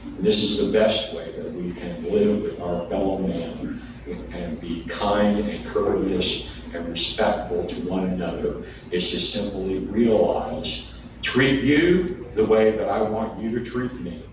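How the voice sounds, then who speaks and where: A man's voice: deep voice, unhurried. A man preaching a sermon, earnest and folksy, sometimes restarting his words. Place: church sanctuary. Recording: echoey hall, distant mic, background noise.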